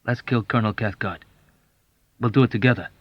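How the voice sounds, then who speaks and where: A man's voice: mid-range voice, steady tone, fairly quick, no echo, lightly acted. A man voicing an exasperated, frantic young male airman who talks urgently and emphatically. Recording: dull dry audiobook recording.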